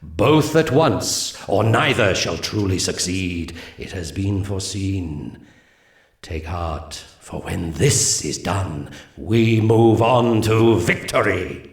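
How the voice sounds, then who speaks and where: A man's voice: deep voice, lively intonation, slow pace, exaggerated voice. A man playing a solemn alien seer in a video game, delivering grandiose, archaic briefings to warriors with prophetic gravity. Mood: grave, prophetic. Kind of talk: scripted game mission briefing. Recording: roomy echo, distant from mic.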